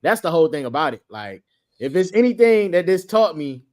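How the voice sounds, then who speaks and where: Lively higher-voiced man, insistent. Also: fairly quick. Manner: joking, insistent, repeats himself. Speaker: podcast co-host. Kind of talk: casual sports chat.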